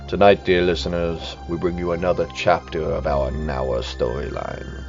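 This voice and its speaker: Male horror anthology host: very deep, theatrical, ominous delivery, slightly dull recording under music. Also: flat delivery, moderate pace. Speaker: podcast host introducing an episode. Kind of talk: scripted horror anthology introduction. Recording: muffled, band-limited audio with music behind.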